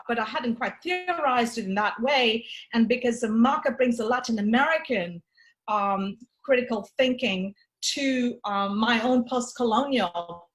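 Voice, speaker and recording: A woman's voice: high voice, poor audio. A woman from Tanzania presenting her book at an academic forum, thoughtful and searching, with frequent restarts and fillers. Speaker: author and event host. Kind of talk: academic talk introducing a book.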